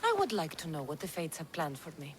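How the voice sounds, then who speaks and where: A woman's voice: sits low, huge pitch swings, quick pace, slight background noise. A woman voicing a confident, dry-witted mercenary hero. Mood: wry.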